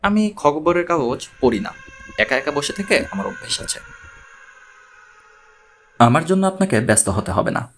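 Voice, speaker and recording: A man voicing a polite, self-assured male professor in an audiobook, measured and orderly in his speech. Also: fairly high pitch, very animated, fairly bright tone, slight background noise. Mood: composed, polite. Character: formal, self-assured male mathematics professor seeking a consultation.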